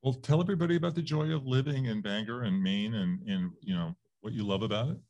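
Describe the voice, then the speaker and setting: A man with a fairly low voice hosts an interview. He keeps it casual and joking, teasing his guest as he leads into each question. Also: quick pace, darkish tone, lo-fi sound.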